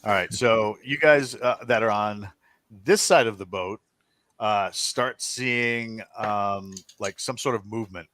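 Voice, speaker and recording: A man narrating a tabletop role-playing game as game master, improvising scenes in a halting, descriptive way. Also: on the low side, fairly bright tone, no echo.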